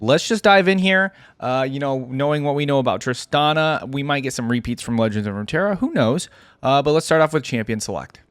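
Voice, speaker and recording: A woman's voice: a bass voice, expressive, fairly quick. A woman hosting a reaction video, giving casual, chatty commentary and musing as she goes.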